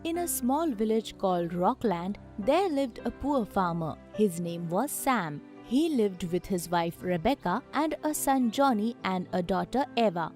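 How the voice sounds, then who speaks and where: A woman's voice: mid-range voice, lively intonation, unhurried, hardly any pauses, a little mellow, faint music behind. A woman narrating a scripted story as a storyteller.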